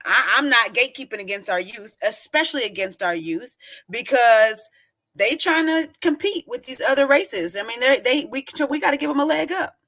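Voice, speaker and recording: A woman in her forties with speech, high-pitched and bright, pressing her points hard in casual group talk. She cuts in often and keeps the floor. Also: expressive, normal speed. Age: 40s. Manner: emphatic, talks over others. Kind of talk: casual panel chat.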